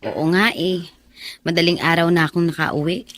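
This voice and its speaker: An actress playing a dutiful young bar hostess and daughter in a Filipino radio drama, speaking gently and naturally. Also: female voice, on the low side, fairly even intonation, moderate pace, close to an everyday voice. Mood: tired, grateful. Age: young adult.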